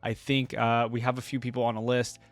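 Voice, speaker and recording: A man's voice: mid-range voice, level tone, a little fast, soft background music. A man giving casual, informal commentary to viewers as a reaction host, rambling and thinking aloud. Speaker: gaming reaction YouTuber, host.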